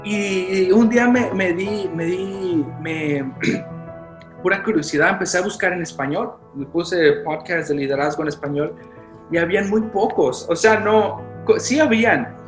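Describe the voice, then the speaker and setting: A man's voice: high-pitched, unhurried, roomy sound, faint music behind. A man, a youth pastor from Chicago whose first language is Spanish, talking in a casual interview with many restarts and English fillers. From Chicago, United States. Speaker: youth pastor and podcast guest.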